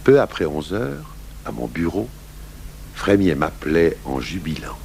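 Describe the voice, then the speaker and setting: A man narrating a French radio crime drama in the first person as a composed police commissioner, measured and literary. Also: low voice, very animated, gravelly, darkish tone, full character voice. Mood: composed, wry. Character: police commissioner recounting his case, composed. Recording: noisy 1980s radio recording with hum.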